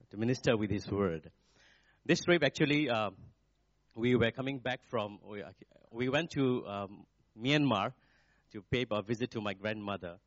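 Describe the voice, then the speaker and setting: A man in his forties, a native Nepali speaker with a Nepali accent, preaching in a halting, searching way as a guest speaker at a church. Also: fairly low pitch, no echo. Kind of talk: sermon. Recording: dull, dry sound.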